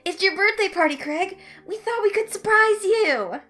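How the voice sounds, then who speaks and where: A woman's voice: very high-pitched, lively intonation, normal speed, a bit bright, faint music behind, voice pushed up. A woman voicing a friendly, earnest young male office worker in a story, with an eager manner.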